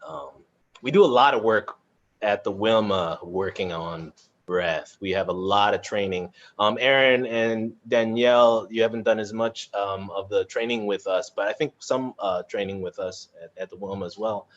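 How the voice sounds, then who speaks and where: A man with a fairly low voice hosts a casual Q&A. He searches for words as he talks and puts questions to the group. The sound is dull and lo-fi, like an online call. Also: normal speed. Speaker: moderator of a cast Q&A. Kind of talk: casual panel talk, reading audience questions. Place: remote live video chat.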